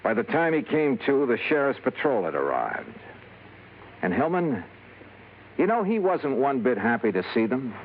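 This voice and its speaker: A man's voice: medium pitch, sing-song, moderate pace, noisy background. An American man playing a shrewd, wry insurance investigator in a vintage radio noir drama, with clipped tough-guy delivery. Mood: wry, confident. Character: shrewd, hard-boiled male freelance insurance investigator. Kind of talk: 1950s radio noir acting and first-person narration. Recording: muffled vintage radio broadcast with music.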